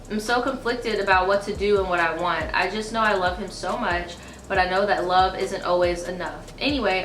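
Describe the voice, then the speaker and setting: A woman's voice: mid-range voice, fairly bright tone, echoey room, low-quality recording. A woman in her twenties with allergy-stuffy sinuses, chatting casually to camera and reading a viewer's letter, full of fillers and slang. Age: 20s. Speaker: YouTuber reading viewer stories. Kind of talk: casual advice chat and reading letters aloud. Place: small indoor room, seated before a dark backdrop.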